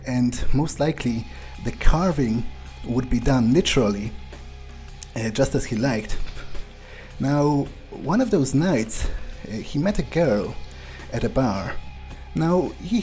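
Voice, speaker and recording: A man narrating a role-playing game playthrough in a measured, musing way, with hesitant pauses and drawn-out hums. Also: mid-range voice, warm tone. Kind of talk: improvised character backstory narration. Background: background music.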